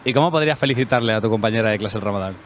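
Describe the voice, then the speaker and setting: A man's voice: fairly low pitch, rapid-fire, a little hiss or hum. A man interviewing passers-by on a city street with a handheld mic, asking formal questions. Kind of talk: vox pop street questions.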